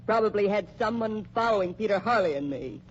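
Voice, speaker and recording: A woman's voice: mid-range voice, lively intonation, brisk, natural voice. An actress playing a defiant, frightened young woman spy in a radio crime drama, with an emphatic, melodramatic delivery. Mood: hard, defiant. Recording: dull lo-fi old radio recording, background noise.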